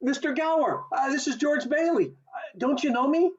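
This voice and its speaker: A woman's voice: sits high, normal speed, a little hiss or hum, lightly acted. A woman acting a bewildered, agitated everyman in a radio play, stammering and urgent, over a video call. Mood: concerned. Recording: dull, lo-fi video call audio.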